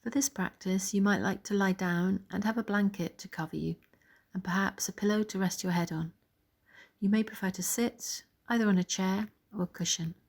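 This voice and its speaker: A woman leading a guided body scan meditation in a soft, gentle, soothing way, pausing between phrases. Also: medium pitch, fairly even intonation, vocal fry.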